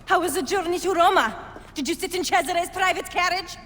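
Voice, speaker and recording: Shrill, very high-voiced villainous noblewoman. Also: fairly quick, a bit bright. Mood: mocking, jealous. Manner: shrill, mocking, imperious. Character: cruel, jealous, taunting Italian noblewoman villain. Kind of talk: video game cutscene acting. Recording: long reverb, faint background noise.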